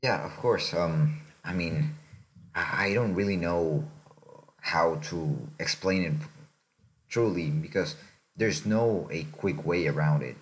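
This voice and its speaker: A young man from Mexico talks through life lessons in a relaxed podcast chat, thinking aloud and checking in with "right?". His voice sits extremely low, a deep rumble under every phrase. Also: low-quality recording. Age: young adult. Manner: reflective, unhurried, often tags "right?". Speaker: podcast co-host.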